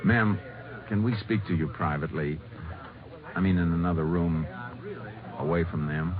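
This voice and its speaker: An actor playing a resolute, considerate Texas Ranger in an old radio crime drama, with an American accent and a terse, measured, polite delivery. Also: male voice, sits low, steady tone, close to an everyday voice. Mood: gentle, grave. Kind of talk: 1950s radio crime drama acting and narration. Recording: tinny old radio recording with music.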